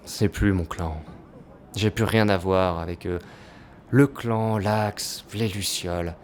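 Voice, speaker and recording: An actor playing a jaded, cynical crime boss with a blunt, dismissive manner in an audio drama. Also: male voice, low voice, steady tone, slow pace, slight background noise. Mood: bitter, dismissive. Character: jaded, cynical male crime boss, former elite fighter.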